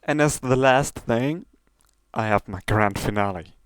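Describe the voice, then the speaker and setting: A man's voice: medium pitch, a little slow, clear, resonant tone, dry recording, natural voice. A man voicing a polite older stallion, a retired magician and courteous mentor, in a story reading with a formal manner. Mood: showman-like.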